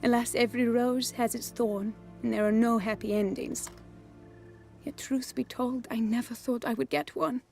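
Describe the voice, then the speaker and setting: A woman playing a noble lady cursed to turn bird, speaking in a formal, measured, poetic way with resigned dignity in a fantasy game. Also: fairly high pitch, fairly even intonation, a little mellow, soft background music, natural voice. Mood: resigned, wistful.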